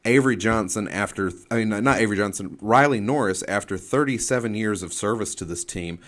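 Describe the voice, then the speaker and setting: A man's voice: fairly low pitch, fairly quick, fairly bright tone. A man co-hosting a sports talk show, analysing off the cuff and often repeating words and phrases as he goes. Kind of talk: unscripted sports discussion and analysis.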